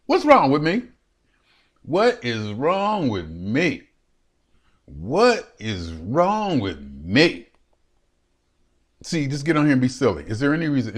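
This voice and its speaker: Chatty fifty-something man rambling to webcam. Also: mid-range voice. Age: 50s. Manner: chatty and animated, laughing often, repeating phrases. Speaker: vlogger. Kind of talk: rambling solo vlog to a webcam. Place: his room at home.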